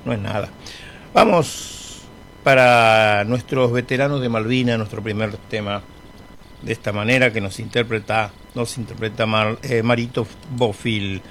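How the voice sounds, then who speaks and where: A middle-aged man with an Argentine accent, hosting a radio music show in a relaxed, rambling way between songs, with bits of humour. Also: fairly low pitch, unhurried, a little hiss or hum. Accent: Argentine Spanish. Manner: chatty, rambling, friendly with humour. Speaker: radio host. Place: radio studio.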